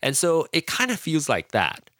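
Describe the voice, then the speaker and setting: A man from Malaysia whose first language is Hokkien, talking casually as a podcast guest, with frequent restarts and pitch swings. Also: dry recording, studio quality. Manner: halting, many restarts, lively pitch swings. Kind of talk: casual conversational interview.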